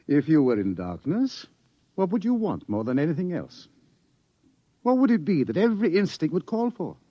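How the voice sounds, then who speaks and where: A grimly certain male scientist with a fairly high, very animated voice. He lectures in emphatic phrases. It is old radio drama, dull in tone. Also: moderate pace, pauses often, no room sound. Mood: ominous intensity. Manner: emphatic, didactic, rhetorical questions. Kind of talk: 1950s radio drama acting. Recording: dull 1950s radio recording.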